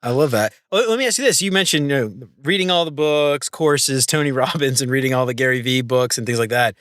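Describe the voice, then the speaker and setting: A man's voice: medium pitch, a little fast, a bit bright, no room sound, clean studio sound. A man hosting a podcast interview, enthusiastic, acting out quoted people in a raised voice. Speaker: podcast host.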